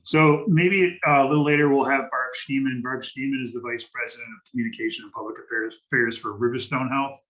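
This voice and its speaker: A man moderating a public health panel, speaking haltingly with many restarts and fillers. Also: medium pitch, fairly even intonation, fairly bright tone, rough recording.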